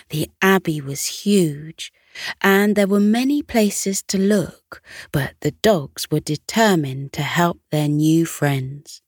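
A woman narrating a scripted bedtime story for children in a gentle, measured way. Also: fairly low pitch, no echo, clean studio sound.

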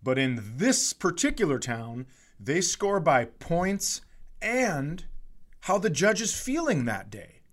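A man's voice: a little high, sing-song, slow pace, a bit bright. A middle-aged American man telling casual comedic stories as a podcast host, with a profane, exasperated ranting manner.

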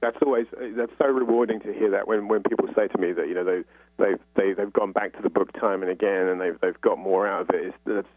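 A man's voice: deep voice, fairly even intonation, fast, slightly rough, no echo. A man from North London with a London accent, answering radio interview questions as a guest novelist in a reflective, explanatory way over a phone-like line. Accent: London English. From North London, England. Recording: phone-like, muffled narrow-band line.

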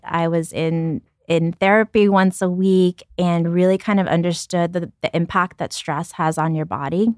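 Low-voiced woman in her thirties. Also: dry recording, studio quality. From born in Ukraine, raised in Brooklyn, New York. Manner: reflective, frequent restarts, light laughs. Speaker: guest, company founder. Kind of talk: candid podcast conversation.

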